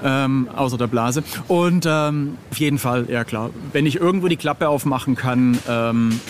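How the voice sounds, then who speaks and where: A man's voice: mid-range voice, moderate pace, a little hiss or hum. A middle-aged man talking casually as an interview guest, hesitant and drily self-deprecating. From Germany.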